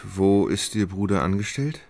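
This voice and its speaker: An actor playing a dreamy, hesitant gentleman in love in a radio play, with a husky voice. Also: sits low, normal speed. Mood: polite, hesitant. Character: dreamy, hesitant, lovestruck gentleman who puts things off.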